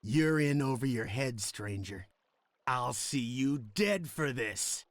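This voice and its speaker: A man's voice: mid-range voice, animated, slow pace. An actor playing a menacing, spiteful henchman villain in a video game. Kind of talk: video game cutscene acting.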